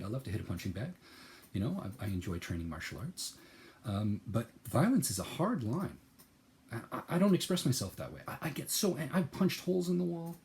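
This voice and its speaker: A man with a lowish voice talks through a personal reflection, earnest and searching, often restarting his words. He drops to soft, quiet phrases, then breaks into loud, emphatic bursts. A faint hiss of room noise sits behind him. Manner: earnest, searching, repeats and restarts words while thinking aloud. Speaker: channel host.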